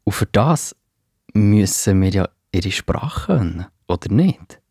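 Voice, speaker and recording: A man's voice: on the low side, sing-song, takes it slowly, darkish tone, slightly rough, no room sound, studio quality, heavily acted. A man with a Swiss German accent playing an earnest disciple preaching in a children's Bible audio drama. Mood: earnest, thoughtful. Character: Peter, earnest male disciple of Jesus preaching.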